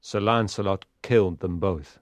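An actor playing a sober, plain-speaking knight in a dramatised storybook, grave and measured. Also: male voice, low voice, no echo, clean studio sound, lightly acted. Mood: grave, sombre. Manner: grave, measured, matter-of-fact.

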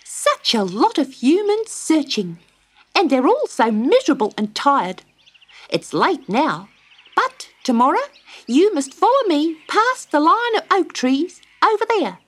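A woman's voice: sits high, very animated, unhurried, pitched above the performer's own voice. A woman voicing a small, chirpy, fussing male wagtail in a children's story. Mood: brisk, chirpy.

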